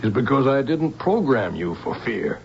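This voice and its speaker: An actor playing a gentle, regretful older scientist, fatherly and reflective, in a radio drama. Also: mid-range voice, sing-song. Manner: reflective, kindly, measured. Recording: dull lo-fi radio recording, faint hiss.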